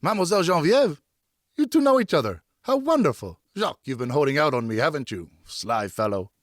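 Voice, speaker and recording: A jovial, tipsy gentleman of old France, acted out by a male audiobook reader. The voice leaps high and blurts along with effusive, exuberant warmth. The sound is close and dry. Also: very animated, fairly quick, no echo. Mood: excited, teasing. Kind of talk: audiobook character dialogue.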